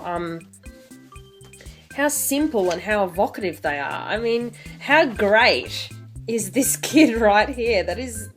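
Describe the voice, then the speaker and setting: A woman in her twenties hosting an online video, talking casually and reading a picture book aloud with theatrical emphasis. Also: mid-range voice. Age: 20s.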